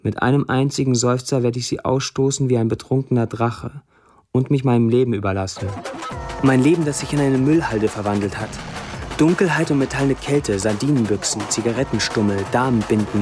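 An actor playing a sardonic Syrian blacksmith who writes Facebook posts, delivered as a literary monologue in a radio play. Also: male voice, medium pitch, a little fast, over music, lightly acted. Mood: bitter.

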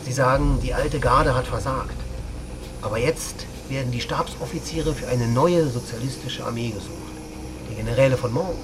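A sardonic military officer played by a man in a radio crime drama, with a medium-pitched voice. He talks in a brusque, dry, challenging way amid clear vehicle noise. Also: moderate pace, lightly acted. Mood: sardonic, explaining. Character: brusque, sardonic male army officer and pilot. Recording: loud vehicle engine noise around the voice.

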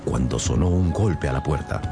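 Very deep-voiced male storyteller. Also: moderate pace, over music. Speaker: narrator. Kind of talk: scripted story narration for radio drama.

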